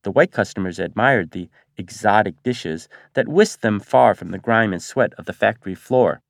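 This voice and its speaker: A man's voice: lowish voice, animated, normal speed, gravelly, dry recording, studio quality. An American man narrating his own memoir as a scripted audiobook. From Detroit, United States. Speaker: author reading own memoir.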